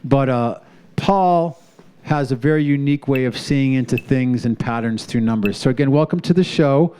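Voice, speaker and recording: A man's voice: medium pitch, normal speed, warm tone, slight background noise. A man hosting a community talk show, upbeat and chatty as he introduces his guest and the next song. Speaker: host.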